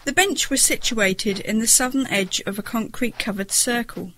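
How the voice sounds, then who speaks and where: Female story narrator, pitched a little high, scripted audiobook read, slight background noise. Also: moderate pace, fairly bright tone.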